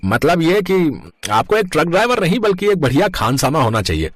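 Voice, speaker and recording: A man's voice: a little high, big pitch swings, fairly quick, a bit bright, no room sound. A man voicing a greedy, bossy roadside eatery owner with a blunt manner in a moral story cartoon. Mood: persuasive. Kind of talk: animated moral story dialogue.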